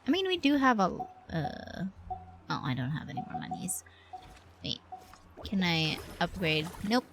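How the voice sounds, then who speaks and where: A woman's voice: lowish voice, wildly swooping pitch, a little slow, a bit bright, a little hiss or hum. A woman livestreaming a game with casual, playful, slangy commentary, chatting to herself and to her viewers.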